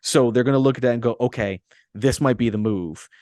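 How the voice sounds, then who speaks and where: A man's voice: mid-range voice, fairly quick, darkish tone, dry recording, clean studio sound. A man chatting casually about movies as a podcast co-host, earnest and repeating phrases for emphasis.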